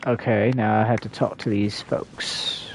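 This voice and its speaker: A man's voice: on the low side, level tone, unhurried, faint music behind. A man livestreaming a game, reading dialogue choices aloud and commenting casually.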